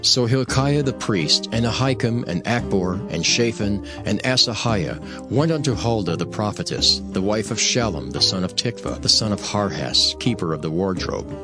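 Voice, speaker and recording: A man's voice: fairly low pitch, expressive, moderate pace, hardly any pauses. A man narrating dramatized scripture as a solemn storyteller. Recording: voice over prominent music. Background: music.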